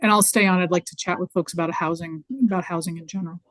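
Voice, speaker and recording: A woman in an online meeting giving a brisk, friendly update. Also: lowish voice, fairly even intonation, fairly bright tone, rough recording. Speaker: meeting participant. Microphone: video call.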